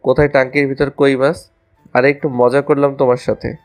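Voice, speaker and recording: একজন পুরুষের অভিনয়ে দুষ্টু, রোমান্টিক কলেজপড়ুয়া ছেলে, পেছনে বাজনা. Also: male voice, mid-range voice, flat delivery, a little fast, soft background music, natural voice. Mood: playful, mock-innocent. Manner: playful, mock-innocent, teasing. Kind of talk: audio romance story acting.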